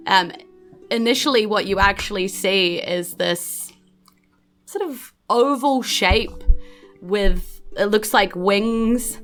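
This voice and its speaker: A woman's voice: mid-range voice, a bit bright. A woman with a New Zealand accent casually narrating as game master, improvising in loose, conversational, trailing phrases.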